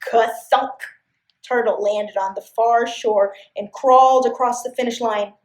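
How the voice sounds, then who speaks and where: A woman reading a story to young children in an expressive, sing-song way, often putting questions to her listeners. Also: a little high, a little slow, echoey room. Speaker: library story time host and reader.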